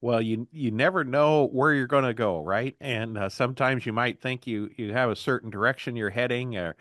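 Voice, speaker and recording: A man's voice: mid-range voice, moderate pace, fairly bright tone, no echo, clean studio sound. A man hosting an interview podcast in an informal, conversational way. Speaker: podcast host.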